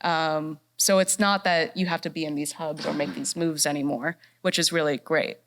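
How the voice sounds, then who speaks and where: A woman's voice: sits low, fairly even intonation, normal speed. A woman from North Carolina speaking as a voice actress on a festival panel, bubbly and upbeat. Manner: bubbly and upbeat, laughs often. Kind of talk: casual panel talk. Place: fine arts center stage.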